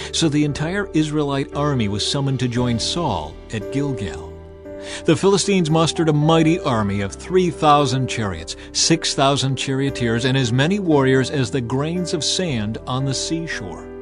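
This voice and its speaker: A man narrating scripture in a measured, grave storytelling manner. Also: medium pitch.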